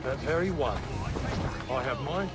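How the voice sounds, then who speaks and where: An actor playing a greedy, proud, lovesick smuggler in a video game, with a florid, haughty manner. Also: male voice, medium pitch, a little slow, natural voice. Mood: smooth, evasive. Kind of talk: video game drama acting. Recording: very loud music behind. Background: music.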